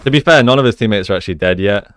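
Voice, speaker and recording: A man's voice: on the low side, rapid-fire, a bit bright, clean tone. A man giving casual, explanatory gameplay commentary as an esports coach, using gamer slang. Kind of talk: live gameplay commentary and breakdown.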